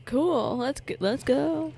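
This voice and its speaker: A woman's voice: high voice, slow, gravelly, mellow. A woman streamer giving casual gameplay commentary in a playful, slangy, reactive way. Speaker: game streamer.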